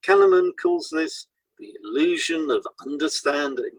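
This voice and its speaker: A man co-hosting an educational podcast, reading scripted explanations in a measured, explanatory way. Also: on the high side, lively intonation, dry recording, low-quality recording. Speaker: podcast co-host and hypnotherapist.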